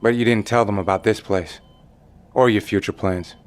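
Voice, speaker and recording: A man's voice: on the low side, steady tone, moderate pace, lightly acted. A man playing an indignant male survivor in custody who speaks in a skeptical, challenging way, in an audio drama.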